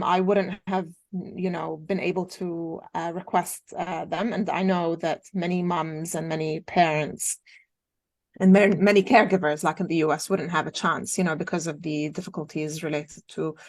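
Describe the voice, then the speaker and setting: Thoughtful woman with a lower voice. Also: dry recording. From Jordan. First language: Arabic. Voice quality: thoughtful, many "um" and "you know" fillers. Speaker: interview guest, occupational therapist. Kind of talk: conversational interview.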